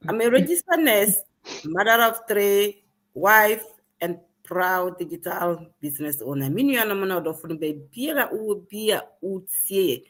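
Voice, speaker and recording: A woman's voice: mid-range voice, expressive, normal speed, a bit bright, low-quality recording. A woman from Ghana with a Ghanaian accent, introducing herself to online viewers as a guest. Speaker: livestream guest.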